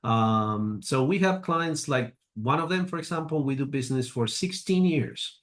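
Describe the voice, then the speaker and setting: Man, fifties, halting, filler-heavy, middle pitch. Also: animated. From Argentina. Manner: halting, with frequent fillers and restarts. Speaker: guest, agency president. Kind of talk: interview answers.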